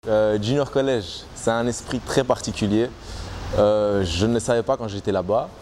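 Relaxed young man. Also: on the low side, normal speed, slight background noise. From Belgium. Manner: relaxed, casual, with many restarts and fillers. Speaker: basketball player. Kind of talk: talking to camera about his experience. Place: outdoors in front of trees.

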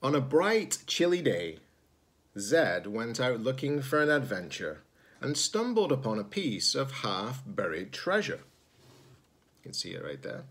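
A man in his forties, a teacher-librarian reading a children's picture book aloud, expressive and slightly husky, pausing to ask listeners questions. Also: mid-range voice. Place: indoors, room at home with sloped ceiling.

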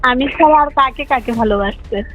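A woman's voice: high-pitched, normal speed, a bit bright. A woman with a Bangladeshi accent talking casually in a recorded private phone conversation. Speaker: hospital cleaner. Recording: dull lo-fi recorded phone call. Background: music.

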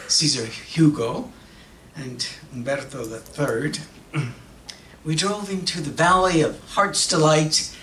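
A man's voice: fairly high pitch, a bit bright. Distant, echoey man acting as an earnest magazine publisher. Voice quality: bilingual, slipping between English and Spanish. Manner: deliberate, halting delivery in short phrases with pauses. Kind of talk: dramatic reading of a monologue on stage. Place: stage of an auditorium. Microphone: stand mic at lectern, recorded by distant camera. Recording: distant, echoey auditorium with background noise.

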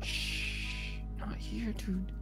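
A man's voice: a little high, sing-song, a little fast, a bit bright, soft background music, rough recording. A man voicing a blunt, sarcastic schoolboy sidekick in comedy dialogue, casual and dry. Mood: hushed.

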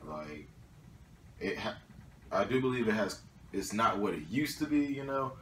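A man's voice: lowish voice, big pitch swings, a little slow, fairly bright tone, a little hiss or hum. A young man talking casually in a discussion, hesitant with many fillers. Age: young adult. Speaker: student panelist. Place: small indoor room at a table. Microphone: far camera. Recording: echoey room from far off.

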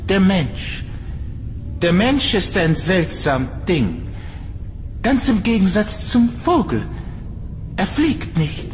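An actor playing an eerie, morbid man in a horror audio drama, halting and brooding as if speaking in riddles. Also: sits high, very animated, slight background noise, heavily acted. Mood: cryptic, brooding. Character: eerie, cryptic, morbid man lurking in a cellar. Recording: long hall reverb, loud music behind.

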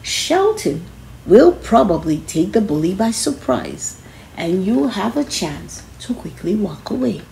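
Female storybook reader, mellow and unhurried, instructive with animated intonation. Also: medium pitch, gravelly, slight background noise, rough recording. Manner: instructive, emphatic on key phrases. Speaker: children's book reader. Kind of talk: read-aloud of a children's self-help book.

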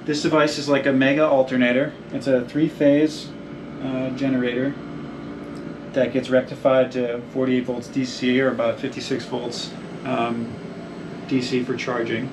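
A man's voice: medium pitch, level tone, normal speed. A young man who installs marine electrical systems, explaining the setup on a boat in a technical, rambling way with many ums and restarts. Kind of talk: technical walkthrough interview. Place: small wooden boat cabin and engine room. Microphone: camera a few feet away. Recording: echoey cabin, distant mic, air conditioning hum.

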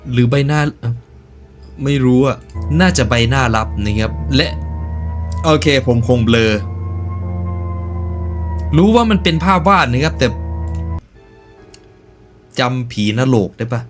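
A man's voice: mid-range voice, lively intonation, slow pace, pauses often, soft background music. A man hosting a reaction video, commenting casually in slangy speech with joking asides and stretches of narration. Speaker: YouTube reaction host.

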